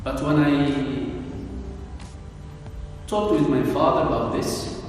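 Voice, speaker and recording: Algerian english teacher guy, arabic native, slow talker, far off in a really echoey room. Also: mid-range voice, soft background music. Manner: slow, measured, with many pauses and restarts. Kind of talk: interview answers.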